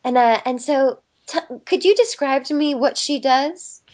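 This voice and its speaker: A woman's voice: high voice, measured pace, darkish tone. A woman being interviewed as a guest, talking in a thoughtful, friendly way and laughing often. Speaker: voice actress guest. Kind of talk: casual interview chat.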